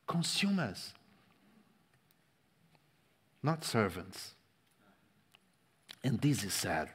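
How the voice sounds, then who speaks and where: A man whose first language is Portuguese, preaching a sermon with passion, swinging between loud stresses and hushed lines and repeating words for emphasis. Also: fairly low pitch, big pitch swings, very slow, breathy edge. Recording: long reverb of a large hall.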